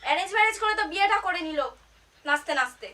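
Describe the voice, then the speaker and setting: A woman's voice: very high voice, expressive, rapid-fire, very noisy. A young woman with a West Bengal Bengali accent, talking in a dramatic, insistent, accusing way as on a prank phone call. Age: young adult. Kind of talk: animated prank phone calling, theatrical scolding. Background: background music.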